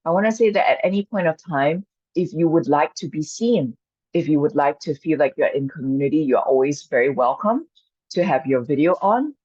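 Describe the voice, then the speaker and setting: A woman from Singapore, a Chinese speaker, gently guiding an online mindfulness and qigong workshop as its coach. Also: low voice, lively intonation, fairly quick, slightly rough, dry recording. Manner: gentle, inviting, reassuring. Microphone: video call. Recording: dull dry video call audio.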